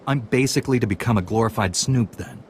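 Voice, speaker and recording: An actor playing an earnest, steadfast Jedi apprentice in a video game, composed and formal. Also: male voice, mid-range voice, fast talker, slight background noise, close to an everyday voice. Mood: dry composure. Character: earnest, steadfast young Jedi Padawan hero.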